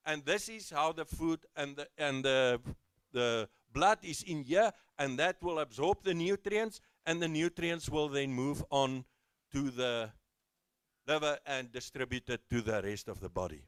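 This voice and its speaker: A South African man with a fairly high voice presents to a crowd of farmers at a live event. He explains livestock disease step by step, repeats points and restarts sentences. His voice is loud and carries well. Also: a little slow, smooth. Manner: says he has a loud voice. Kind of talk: live expo talk to farmers. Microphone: handheld mic, later speaks without it.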